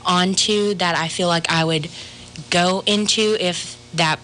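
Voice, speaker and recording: A woman's voice: on the low side, fairly even intonation, measured pace, pushed and bright, a little hiss or hum. Teenage girl, hesitant, thoughtful, interview guest. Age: teens. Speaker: young actor guest. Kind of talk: casual interview answers.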